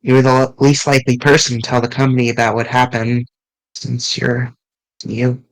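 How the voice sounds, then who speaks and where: A man streaming, reading visual novel dialogue aloud in an earnest, halting way with small restarts. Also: mid-range voice, completely flat delivery, no echo, low-quality recording.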